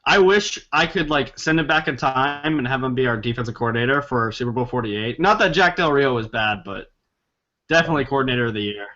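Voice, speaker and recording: A young man co-hosting a casual sports podcast, easygoing and quick to laugh. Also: mid-range voice, sharp tone. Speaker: podcast co-host. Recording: dull lo-fi sound.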